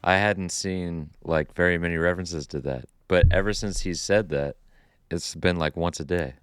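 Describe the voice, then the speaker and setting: A man's voice: deep voice, normal speed, clean tone, no room sound. A man co-hosting a podcast in casual chat, making dry, wry asides. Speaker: podcast co-host.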